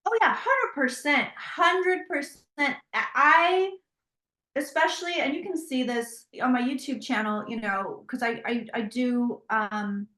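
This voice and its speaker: Animated woman, echoey room. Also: sits high, unhurried. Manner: animated storytelling with many restarts. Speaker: psychic tarot reader. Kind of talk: interview conversation.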